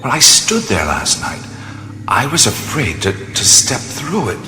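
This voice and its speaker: An actor playing a curious, soft-spoken adventurer man who muses and hesitates, in a radio play. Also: mid-range voice, wildly swooping pitch, measured pace, faint music behind, full character voice. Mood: earnest. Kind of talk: radio drama acting. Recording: radio play with loud night insects.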